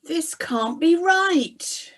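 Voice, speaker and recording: A woman's voice: very high voice, expressive, extremely slow, fairly bright tone, voice pushed up, full character voice. Woman voicing a troubled Roman soldier. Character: troubled male Roman soldier, uneasy and conscience-stricken.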